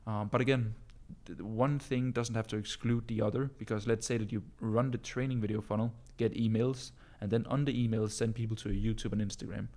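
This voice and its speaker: A Danish man speaks English as his second language, with a low voice that stays fairly level. He talks loosely and casually, peppering his answers with bro and um as he coaches his viewers. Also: steady tone, a little fast, hardly any pauses, darkish tone. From Denmark. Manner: casual, calls listeners bro, many ums and uhs. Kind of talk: casual live-stream Q&A.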